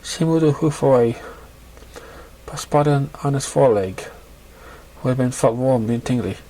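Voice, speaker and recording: A man's voice: mid-range voice, measured pace, mellow, a little hiss or hum. A man reading a fan fiction story aloud as an amateur narrator, stumbling over words and rereading lines. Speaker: amateur audiobook reader.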